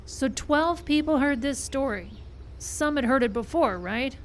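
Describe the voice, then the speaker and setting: A woman's voice: high voice, lively intonation, a little slow. A woman playing a skeptical, level-headed film crew member in a live radio play, with a dry, reasoning manner. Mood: skeptical, patient. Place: live radio show in a park. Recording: live stage recording with background noise.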